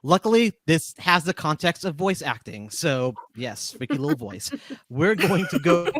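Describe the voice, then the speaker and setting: A man's voice: a little high, sing-song, moderate pace, gravelly, fairly bright tone, no echo. A man hosting a roundtable, casually moderating and handing over between guests. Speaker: host of a voice actors roundtable.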